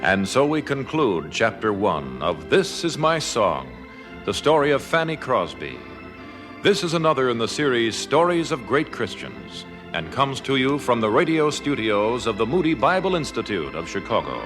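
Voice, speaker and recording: A man giving formal, measured, scripted narration as the announcer of an old-time radio drama. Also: mid-range voice, very animated. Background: organ music.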